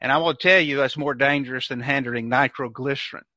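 A man's voice: medium pitch, steady tone, brisk, sharp tone, no room sound. An older man with a Southern US accent, speaking as a guest in an emphatic, preacherly way. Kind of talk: preaching-style discussion. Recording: dry, dull lo-fi sound.

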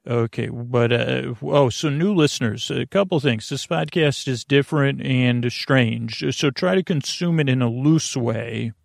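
Man, creaky drowsy voice, dry close mic, rambling bedtime talk, halting. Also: mid-range voice, no echo. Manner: drowsy, self-deprecating asides. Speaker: sleep podcast host.

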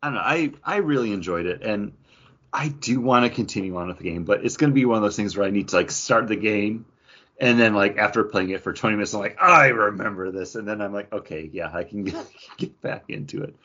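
A man's voice: fairly low pitch, fairly quick, sharp tone, breathy edge, dull audio. A man co-hosting a podcast in casual pop culture chat, rambling along and often quoting his own thoughts with plenty of filler "like". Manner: rambling, self-quoting, peppers talk with "like". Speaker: podcast co-host.

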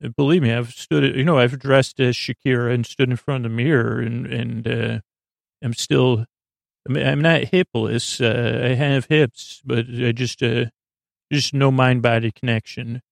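A man hosting a bedtime podcast in a meandering, halting monologue that trails off into tangents. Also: mid-range voice, steady tone, gravelly, no room sound, clean studio sound. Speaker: podcast host.

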